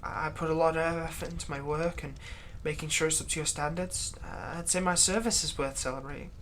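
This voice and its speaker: A man's voice: on the high side, fairly even intonation, brisk, fairly bright tone, a little hiss or hum, natural voice. A man playing a servile, nervous vampire's thrall in a roleplay audio drama, stammering and deferential. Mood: nervous, hopeful. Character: servile, nervous male vampire's thrall, bitterly eager to please.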